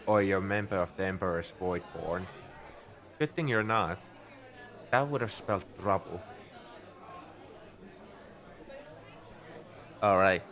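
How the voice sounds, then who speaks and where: A man's voice: low-pitched, fairly bright tone. A man playing a shrewd, easygoing bartender at a space outpost in a conversational, knowing ASMR roleplay monologue. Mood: low-key, cautioning. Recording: crowd chatter behind the voice. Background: bar crowd murmur.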